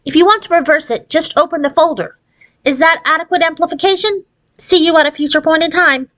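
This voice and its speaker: Woman voicing a pompous, high-pitched fairy godmother. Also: a little fast, a bit bright. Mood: irritated, pompous. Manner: pompous, wordy, impatient.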